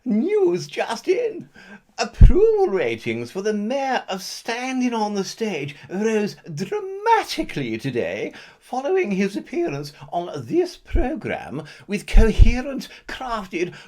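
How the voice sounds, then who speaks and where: A woman's voice: mid-range voice, huge pitch swings, slow, a little mellow, heavily acted. A woman doing a stiff, pompous news anchor. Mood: formal. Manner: formal newsreader delivery that stumbles over words. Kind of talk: parody news bulletin.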